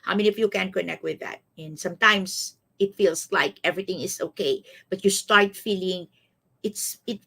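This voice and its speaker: A woman in her fifties speaks with a Filipino accent at a middling pitch. She is a life coach hosting a live online talk, repeating key phrases and regularly checking in with her listeners. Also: normal speed, vocal fry, bright, forceful tone, slightly rough. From Philippines. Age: 50s.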